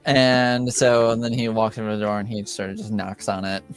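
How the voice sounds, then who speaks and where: A man's voice: fairly low pitch, monotone, moderate pace, soft background music. A man in casual chat and loose narration at a tabletop roleplaying game, animatedly acting out mock quotes.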